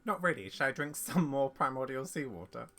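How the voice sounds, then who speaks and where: A man giving casual, informal reaction commentary while playing a video game, musing aloud in an animated way. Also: medium pitch, fairly quick, faint music behind.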